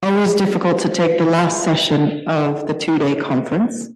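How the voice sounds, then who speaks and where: A woman's voice: sits low, moderate pace, darkish tone. A woman moderating a conference session, informally introducing a speaker in a large room. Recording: echoey, reverberant conference hall.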